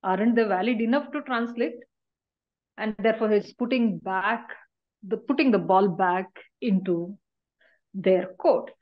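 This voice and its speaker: A middle-aged woman teaches a university class online, speaking into a laptop webcam from a small room. She explains at a steady mid pitch and keeps checking in with her listeners. The audio is muffled and lo-fi, like a video call. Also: measured pace. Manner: explanatory, with frequent checking "right?" tags. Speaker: university lecturer.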